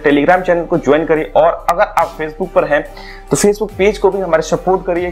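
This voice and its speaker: A young man chatting about gym gear in a casual review vlog, speaking persuasively into a lapel mic in a small room. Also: on the high side. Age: young adult. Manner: chatty and persuasive, mixing English words into his talk. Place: small indoor room, seated on a sofa.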